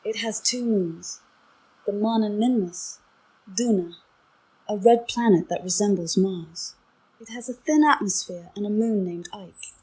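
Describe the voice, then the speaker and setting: Husky ai lady voice explaining stuff, measured, reads like scripted info. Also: medium pitch, warm tone, poor audio. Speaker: AI game companion. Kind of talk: informative scripted-sounding narration.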